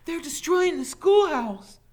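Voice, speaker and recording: Man voicing a high-pitched frantic young schoolteacher woman. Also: lively intonation, takes it slowly, full character voice. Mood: urgent, frantic. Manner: urgent, breathless pleading.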